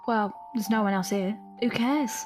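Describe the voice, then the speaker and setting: A woman's voice: mid-range voice, moderate pace, over music, natural voice. An actress playing a brash, bold, teasing young Victorian match girl in an audio drama. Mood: brash, coaxing.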